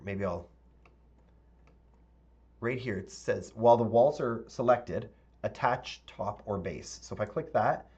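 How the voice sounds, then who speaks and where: Low-voiced man, slightly raspy, teaching live. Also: highs cut off. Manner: relaxed, rambling, thinks aloud with fillers. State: slightly raspy voice, clearing throat. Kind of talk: live software tutorial, chatting with viewers.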